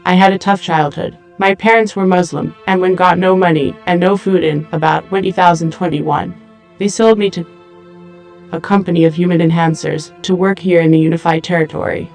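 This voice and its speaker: A man's voice: high-pitched, steady tone, normal speed. A man voicing a guarded, world-weary female humanoid android in a matter-of-fact way for an audio drama. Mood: sombre. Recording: music bed behind voice.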